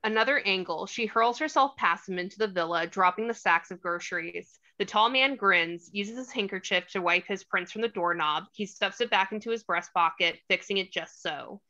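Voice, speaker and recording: A woman's voice: medium pitch, moderate pace, hardly any pauses, pushed and bright, highs cut off. A woman reading stage directions as scripted narration at a table read.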